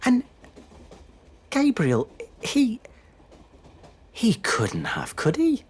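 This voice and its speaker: A man's voice: very high-pitched, huge pitch swings, extremely slow, darkish tone, slight background noise, full character voice. An actor playing a troubled, conscientious man stuck in a dead-end job, in an audio drama with first-person narration. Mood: anxious, confused.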